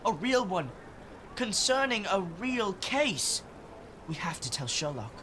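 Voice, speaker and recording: A woman's voice: mid-range voice, sing-song, slow pace, fairly bright tone, a little hiss or hum. A woman playing an earnest, protective young street-urchin leader in an audio drama. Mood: eager, insistent.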